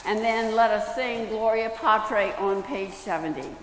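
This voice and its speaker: Slow, solemn older woman pastor in church. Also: medium pitch, a little hiss or hum. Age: older, 70s. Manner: solemn, measured, phrase by phrase. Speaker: pastor leading worship. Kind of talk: read pastoral prayer and liturgy. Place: church sanctuary, at a lectern. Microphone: gooseneck lectern microphone and clip-on. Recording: reverberant church hall.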